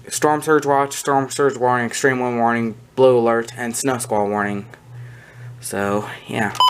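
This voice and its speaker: Hobbyist vlogger, medium pitch, casual walkthrough, halting delivery, trailing sentence endings. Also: clear, resonant tone. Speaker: collector presenting a hobby video. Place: indoors in a small room at home. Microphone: handheld camera or phone near the speaker.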